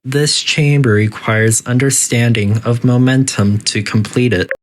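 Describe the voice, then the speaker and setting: A synthetic voice playing a politely detached, quietly menacing artificial intelligence that makes formal, deadpan announcements in a video game test facility. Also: mid-range voice, a little slow, a little hiss or hum, natural voice. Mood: clinically polite. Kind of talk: scripted game announcer narration.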